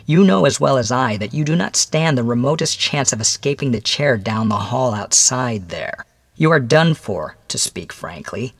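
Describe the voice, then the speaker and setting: A man voicing a mysterious, calm older gentleman who questions coolly and deliberately in a dramatic audiobook. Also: mid-range voice, no room sound, clean studio sound, pitched above the performer's own voice. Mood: cool, cryptic. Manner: deliberate, matter-of-fact, drawn-out questioning. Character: mysterious older bespectacled visitor, calm, coolly probing inquisitor.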